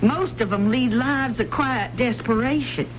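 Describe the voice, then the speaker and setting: An actress playing a proud, quick-witted country fiddler woman with a folksy Southern American drawl in an old-time radio drama. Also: fairly high pitch, natural voice. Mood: playful, sassy. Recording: muffled, noisy old radio recording.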